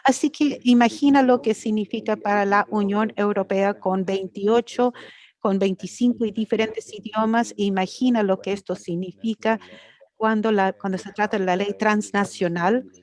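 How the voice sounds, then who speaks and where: A woman interpreting an academic lecture live, halting and correcting herself as she goes. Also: medium pitch, fairly even intonation, a little mellow. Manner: halting, with frequent restarts and self-corrections. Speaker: conference interpreter.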